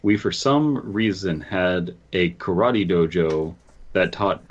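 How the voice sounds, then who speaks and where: A man with a lowish voice hosts a comedic podcast. His talk is casual, joking and somewhat halting. The recording sounds a little dull and lo-fi. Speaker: podcast host. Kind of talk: casual comedic chat, reading stories aloud. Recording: slightly dull, lo-fi microphone sound.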